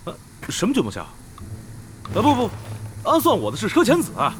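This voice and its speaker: A man's voice: sits high, huge pitch swings, a little fast, a little hiss or hum. An actor playing a flustered, aggrieved male guild underling in an audio drama. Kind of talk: Chinese audio drama acting.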